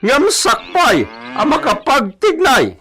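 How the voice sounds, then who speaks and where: A man's voice: very high voice, wildly swooping pitch, slow, bright, forceful tone, full character voice. An actor playing a sly, menacing bandit boss in a radio drama, drawling his orders and cackling gleefully. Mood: scheming. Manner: drawling commands with frequent cackling.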